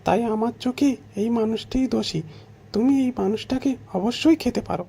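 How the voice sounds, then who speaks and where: A man's voice: very high-pitched, normal speed, gravelly, warm tone, slightly rough, pitched above the performer's own voice, heavily acted. A man voicing an aggrieved deer in a children's cartoon story, in a plaintive, accusing manner.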